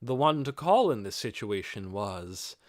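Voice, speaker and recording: Male narrator for fantasy audiobook: dramatic, lively intonation, deliberate phrasing, mid pitch, close dry sound. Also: dry recording. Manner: measured, deliberate phrasing, expressive.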